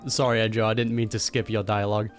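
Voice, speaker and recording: A man's voice: lowish voice, level tone, quick pace. A man giving informal, slangy reaction commentary as a gaming streamer. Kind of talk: casual playthrough reaction commentary. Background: game music.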